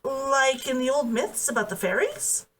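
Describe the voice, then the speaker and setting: A woman's voice: high voice, big pitch swings, moderate pace. A woman voicing a curious, naive noblewoman in a fantasy storybook reading, with an inquisitive manner. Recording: voice distant from the mic.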